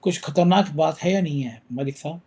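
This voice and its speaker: A man's voice: a little high, normal speed, a little mellow, slightly rough. A man hosting a political news talk show, talking with a sore throat in a conversational, emphatic way.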